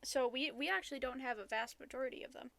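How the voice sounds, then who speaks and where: A woman co-hosts a laid-back podcast in a somewhat high voice. She hedges often and stumbles through ums and restarts while she explains. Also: fast, vocal fry, a bit bright, slight background noise. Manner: hesitant, hedging, many ums and restarts. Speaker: podcast co-host. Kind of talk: casual podcast chat.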